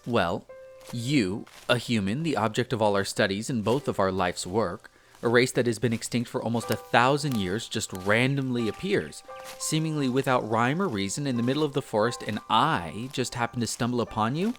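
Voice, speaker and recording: Guy doing a young elf explorer for a roleplay audio, earnest n bookish, bit hesitant, middling pitch, music under it. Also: moderate pace, natural voice. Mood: thoughtful, nervous. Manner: hesitant, chatty, explains eagerly. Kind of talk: scripted roleplay audio drama addressed to the listener.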